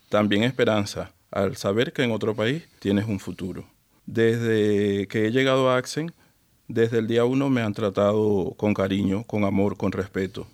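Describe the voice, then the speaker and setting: A man from Venezuela tells his own story in a measured, sincere way. His voice sits somewhat low, and he speaks plainly as a panel guest. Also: darkish tone. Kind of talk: telling his personal story.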